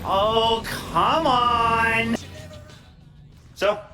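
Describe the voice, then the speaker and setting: A man's voice: very high voice, steady tone, very slow, full character voice. A man playing a cocky, crude, wisecracking vigilante hacker in a video game, theatrical and teasing, with mock voices. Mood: playful. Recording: loud music behind the voice.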